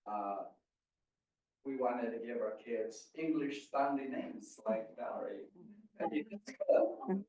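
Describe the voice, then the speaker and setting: A man's voice: medium pitch, slow pace, mellow. An older Mexican-American man with a Mexican Spanish accent, telling family stories at a library talk with many hesitant fillers. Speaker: guest speaker. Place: large room at a public library. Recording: echoey room, far from mic, dull.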